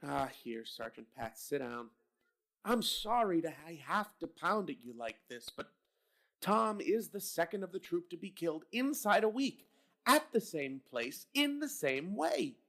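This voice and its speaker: Male actor as a hard-nosed police captain; blunt, sarcastic, lively pitch, radio drama. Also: unhurried, gravelly, full character voice. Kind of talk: old-time radio drama reading.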